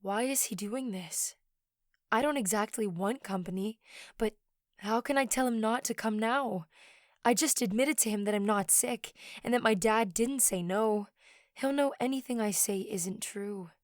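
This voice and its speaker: A woman's voice: on the high side, moderate pace, no echo, studio quality, close to an everyday voice. A woman playing a withdrawn, anxious teenage girl in an audio drama.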